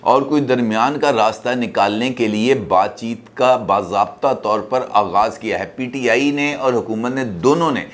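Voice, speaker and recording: A man's voice: medium pitch, normal speed, few pauses. A man with a Pakistani accent giving informal political news commentary as a host, talking conversationally.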